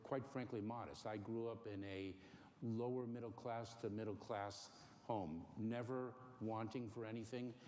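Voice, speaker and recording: A middle-aged man born in Cuba, giving careful, halting interview answers with many pauses and fillers. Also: fairly low pitch, steady tone, mellow. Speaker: interview guest. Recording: faint noise in a long-reverb room.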